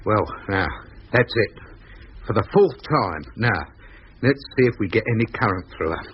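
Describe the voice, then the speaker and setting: An actor playing an anxious, chirpy working-class radio operator with a London Cockney accent in a 1950s radio drama, talking in a plain colloquial way. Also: male voice, fairly low pitch, normal speed, lightly acted. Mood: worried, downcast. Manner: colloquial, plain-spoken, expressive. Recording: dull, noisy 1950s radio recording.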